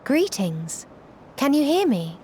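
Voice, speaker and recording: A woman's voice: high voice, big pitch swings, slow, a little hiss or hum, heavily acted. A woman voicing an innocent young empathic creature in a fantasy game, with careful, simple, formal phrasing. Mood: gentle, hopeful. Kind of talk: scripted fantasy game voice acting. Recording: loud game music behind.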